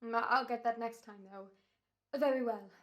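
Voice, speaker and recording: Radio comedy: flippant, slangy, hammy actor played by a woman, highish and sharply bright. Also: steady tone, normal speed, natural voice. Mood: stagey menace. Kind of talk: old-time radio comedy acting.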